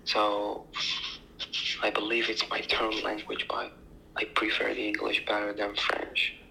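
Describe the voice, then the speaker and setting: Low, gravelly man. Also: monotone, slight background noise, low-quality recording. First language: Arabic. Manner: soft, hesitant, halting phrasing. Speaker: podcast co-host. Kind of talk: casual chat.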